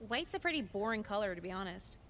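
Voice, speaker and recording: A woman playing a sarcastic, jaded teenage high school girl in a visual novel, with a deadpan, dismissive delivery. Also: quick pace, slight background noise. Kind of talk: scripted visual novel voice acting.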